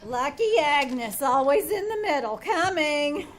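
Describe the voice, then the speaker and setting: Casting: female actor as long-suffering wisecracker, vintage radio comedy, high animated voice with sarcastic edge. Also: slow, slight background noise. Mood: exasperated. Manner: dry, sarcastic, exasperated. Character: wisecracking, long-suffering, sardonic woman named Agnes. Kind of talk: old-time radio comedy acting. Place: small theater stage, live performance.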